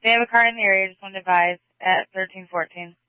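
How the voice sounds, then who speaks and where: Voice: medium pitch, steady tone, fast, clean tone, dry recording, lo-fi sound. A man dispatching police, reading out procedural reports over a radio scanner feed. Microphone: police radio channel.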